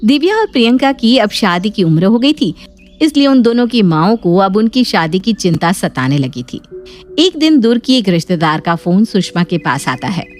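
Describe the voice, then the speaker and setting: A woman's voice: mid-range voice, very animated, moderate pace. A woman narrating a scripted family moral story as a storyteller.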